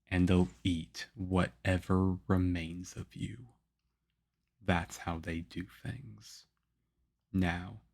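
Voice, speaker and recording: Male actor as aloof young swordsman mentor for ASMR roleplay: stern, flat, unhurried delivery, measured and harsh. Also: sits low, exaggerated voice. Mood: stern, scolding. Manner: harsh, measured, deadpan, with grudging praise. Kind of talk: ASMR roleplay acting addressed to the listener.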